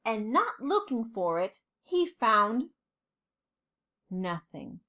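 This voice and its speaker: A woman reading a children's storybook aloud like a teacher, expressively and deliberately, with dramatic pauses. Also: high-pitched, big pitch swings, sharp tone.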